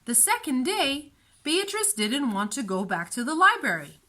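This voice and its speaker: A woman storyteller narrating a children's storybook in an expressive, deliberate way. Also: high voice.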